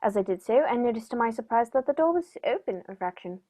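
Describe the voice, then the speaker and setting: A woman playing a composed, shrewd gentleman detective in a radio play, precise and dryly polite. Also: fairly high pitch, expressive, fast. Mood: measured recounting.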